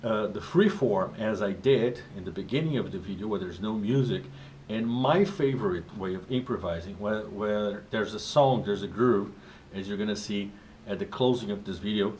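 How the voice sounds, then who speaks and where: A man whose first language is Portuguese, talking about drumming as a teacher in a relaxed, conversational way with frequent restarts. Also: on the low side, moderate pace. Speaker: drum teacher. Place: drum studio. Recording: echoey room with faint ambient noise.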